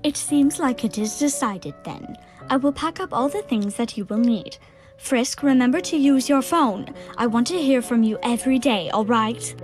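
A woman playing a gentle, worried motherly monster who speaks formally and carefully in an audio drama. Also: on the high side, normal speed, soft background music, close to an everyday voice. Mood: resigned, worried. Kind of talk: fan audio drama acting.

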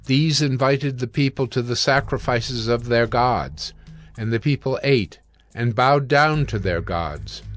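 A man giving scripted narration as the narrator of a dramatized scripture reading. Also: medium pitch.

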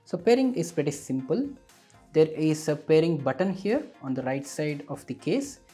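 Mellow male tech reviewer, slightly husky. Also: fairly high pitch, very animated, moderate pace, faint music behind. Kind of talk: scripted product review narration.

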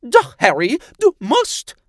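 A man's voice: very high-pitched, wildly swooping pitch, slow pace, no echo, clean studio sound, voice pushed up, exaggerated voice. A man voicing a motherly, insistent woman, coaxing, in a storybook reading. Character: motherly, insistent plump red-haired woman.

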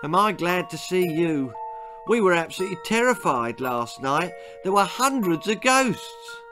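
A cheeky, mischievous young boy, acted out by a grown man, pitched high and full of eager insolence. He is an excitable little fibber from a spooky, funny storybook, with music behind him. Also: measured pace. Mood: breathless excitement. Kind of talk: children's horror-comedy storybook reading.

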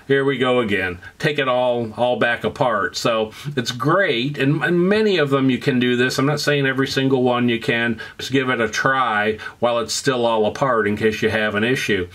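A man's voice: mid-range voice, fairly bright tone. A man presenting a casual how-to repair voice-over in a conversational, folksy, instructive way. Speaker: presenter of vintage audio repair videos.

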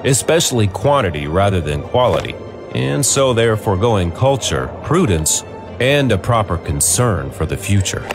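A man's voice: fairly low pitch, big pitch swings, normal speed, over music. A man playing a serious mid-century author and social critic in a scripted dramatized commentary.

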